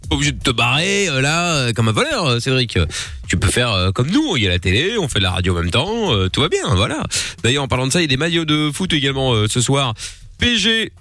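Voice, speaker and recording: A man's voice: medium pitch, big pitch swings, fast, bright, forceful tone, soft background music. A man hosting an evening call-in radio show, bantering and reading listener messages aloud. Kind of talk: casual radio chat.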